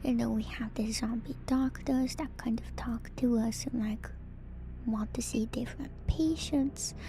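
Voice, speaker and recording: Woman, soft and whispery, fairly high pitch, creaky edges, hesitant musing, ASMR game commentary, music behind. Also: steady tone, slight background noise. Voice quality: soft, breathy, often whispered. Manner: hesitant, musing, pausing often to think. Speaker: streamer, virtual YouTuber host. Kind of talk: ASMR lore commentary on a horror video game.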